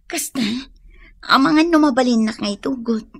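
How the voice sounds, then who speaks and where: Meek, husky young Filipino girlfriend. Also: high voice, normal speed, a bit bright, no echo, clean studio sound, heavily acted. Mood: worried. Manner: apologetic, hesitant. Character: meek, long-suffering young woman devoted to her boyfriend. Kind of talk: Ilocano radio drama acting.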